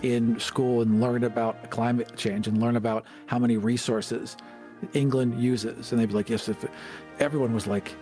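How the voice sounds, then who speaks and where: A man's voice: medium pitch, fairly even intonation, normal speed, warm tone, faint music behind. A man from the United States chatting casually as a podcast co-host, arguing his points with emphasis. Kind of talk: casual chat about tabletop gaming.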